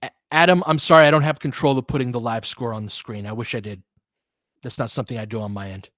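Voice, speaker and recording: A man with an average-pitched voice commentates live basketball in a loose, conversational way. He slips between short play calls and slangy analysis aimed at his viewers, thinking aloud as he goes. The sound is dry, with no room around it. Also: steady tone, quick pace, a bit bright, no echo.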